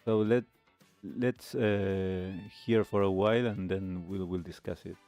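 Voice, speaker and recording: A man's voice: low voice, fairly even intonation, a little slow, dark tone, faint music behind. A man hosting a talk show, giving reflective commentary. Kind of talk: reflective commentary on lifestyle videos.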